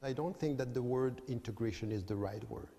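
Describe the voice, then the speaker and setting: Measured mellow-voiced male academic, hall echo. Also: medium pitch. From Switzerland. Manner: measured, explanatory, repeats for emphasis. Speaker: guest academic. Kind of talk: debate answers. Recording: long hall reverb.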